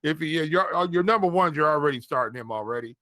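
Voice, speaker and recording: A man's voice: a little high, animated, fast talker, bright, forceful tone, no room sound. A man co-hosting a casual sports chat, giving picks in informal, slangy talk and repeating phrases for emphasis. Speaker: fantasy football podcast co-host.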